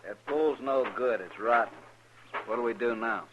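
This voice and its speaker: An actor playing a surly, guarded wagon driver in a 1950s radio western, curt and suspicious. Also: male voice, mid-range voice, normal speed, close to an everyday voice. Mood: guarded, stubborn. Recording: dull, noisy vintage radio recording.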